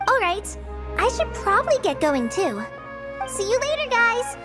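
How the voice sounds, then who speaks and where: A woman voicing a tiny, excitable female fairy companion who talks in eager exclamations in a game cutscene. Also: extremely high pitch, a little slow, full character voice. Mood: chirpy. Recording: studio voice with faint music.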